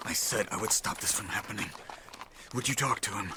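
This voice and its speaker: Casting: grave voice of caution in a western game, fairly low, hushed, urgent, noisy background. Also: male voice, fairly quick, vocal fry. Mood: worried, pleading. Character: earnest, worried man urging restraint on a young warrior. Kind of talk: cinematic western game acting.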